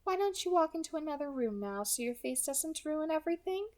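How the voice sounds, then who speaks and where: A woman voicing a polite, menacing female kidnapper with a soft, pleasant alto voice, light and friendly in tone. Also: high-pitched, expressive, a little fast, pitched above the performer's own voice. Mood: coolly menacing. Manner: light, friendly, quietly threatening.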